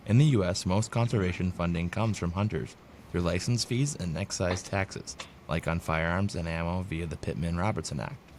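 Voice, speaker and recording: A man co-hosting a casual podcast, reading points aloud plainly and chiming in with quick agreement. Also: low voice, a little mellow, slight background noise. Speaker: podcast co-host.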